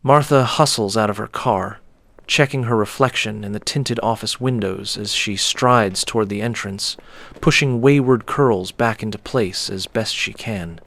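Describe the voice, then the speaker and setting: A man narrating a scripted audio story in a measured, descriptive manner. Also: lowish voice, vocal fry, fairly bright tone.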